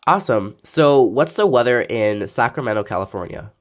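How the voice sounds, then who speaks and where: A young man with a raspy voice from allergies, reviewing a phone casually with lots of fillers. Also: medium pitch, animated, moderate pace. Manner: rambling, filler-heavy, laid-back. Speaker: tech reviewer.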